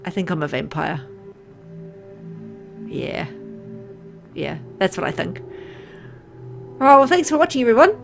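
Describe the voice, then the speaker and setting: A woman playing a visual novel on video, making relaxed, chatty asides to her viewers. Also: medium pitch, slow pace, vocal fry, long pauses. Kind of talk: casual let's-play commentary. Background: game music.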